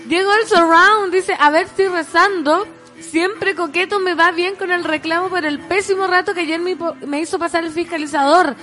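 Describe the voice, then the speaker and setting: A woman's voice: very high voice, fairly even intonation, moderate pace, sharp tone. A Chilean woman co-hosting a casual morning radio show, chatty and reading out listener messages. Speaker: radio co-host. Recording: music playing in the background.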